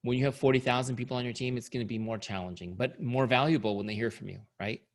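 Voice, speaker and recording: A man's voice: medium pitch, fairly even intonation, fast talker. A middle-aged man giving a sales training talk, upbeat and coaching, who is starting to lose his voice. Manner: upbeat, coaching, asks "right?" often. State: losing his voice. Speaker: company founder and trainer.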